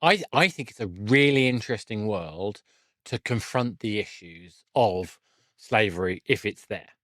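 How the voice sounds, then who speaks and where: A man's voice: on the low side, expressive, a little slow, a bit bright, no room sound. Thoughtful man in close, dry conversation. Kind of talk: casual conversational interview.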